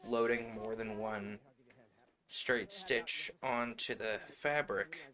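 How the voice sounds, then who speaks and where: A man's voice: lowish voice, takes it slowly. A man streaming from home, chatting casually and thinking aloud while he mends jeans. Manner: relaxed and rambling, thinking aloud. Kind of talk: casual chat. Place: home, indoors.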